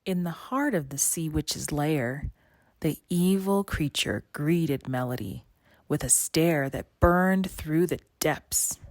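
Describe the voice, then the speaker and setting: A woman reads a storybook aloud with expression. Her voice is low and she takes her time over each phrase. The recording is dry, with no room sound. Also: slow pace, a little mellow, dry recording. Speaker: storybook reader. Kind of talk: expressive children's read-aloud narration.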